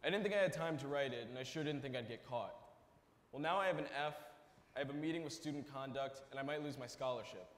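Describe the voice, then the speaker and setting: Guy playing worried student on stage, talks fast, very echoey hall. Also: medium pitch, natural voice. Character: worried young college student owning up. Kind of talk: staged readers theater monologue.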